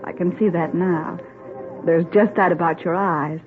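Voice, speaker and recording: Actress as a teasing society seductress, muffled radio. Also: female voice, lowish voice, steady tone, a little slow, noisy background, lightly acted. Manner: teasing, self-assured. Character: bold, flirtatious society woman, worldly seductress. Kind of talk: old-time radio drama acting. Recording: muffled old radio, music behind.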